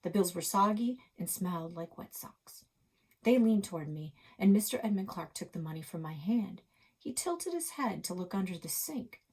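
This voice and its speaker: Woman library reader, expressive children's storytelling, low-ish creaky voice with a husky grain. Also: moderate pace. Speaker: library read-aloud reader.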